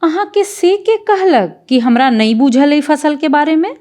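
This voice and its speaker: A woman voicing a scornful, bossy young daughter-in-law in a cartoon, sharp-tongued, with a Maithili accent. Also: sits high, animated, a little slow, soft background music. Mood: bossy, impatient. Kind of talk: Indian cartoon dialogue.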